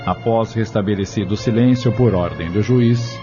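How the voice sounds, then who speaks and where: A man's voice: fairly low pitch, moderate pace. A man reading scripted narration for an audio novel. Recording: dull lo-fi audio with music bed. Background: music.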